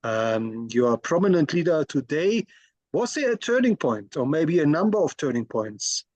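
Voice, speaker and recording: Man, interview host, polite questions, husky voice, dry sound. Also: a little high, sing-song, normal speed, darkish tone, no echo.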